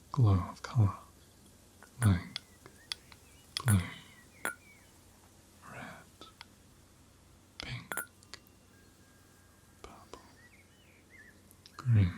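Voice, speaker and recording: A man playing a gentle, patient ram caretaker in an ASMR roleplay, speaking softly and breathily, often in a whisper, close to the mic. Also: on the low side, a little hiss or hum. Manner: unhurried, gently reassuring, attentive. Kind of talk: ASMR roleplay, soft personal attention. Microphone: close ASMR microphone.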